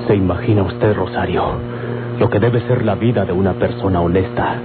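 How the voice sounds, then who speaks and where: Bitter, low-voiced actor as a bandit's tormented son. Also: male voice, fairly even intonation, normal speed, close to an everyday voice. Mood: bitter, resigned. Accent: Mexican. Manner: impassioned, melodramatic, rancorous. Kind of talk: old Mexican radio drama acting. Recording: muffled vintage radio recording, loud music.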